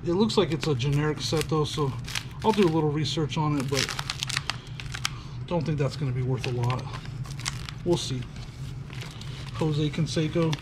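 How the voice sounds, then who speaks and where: A man's voice: a little high, normal speed, long pauses. Chatty middle-aged man sorting finds. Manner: chatty, upbeat, thinking aloud while sorting items. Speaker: storage locker reseller. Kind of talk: casual unboxing commentary. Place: metal storage unit. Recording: loud background noise.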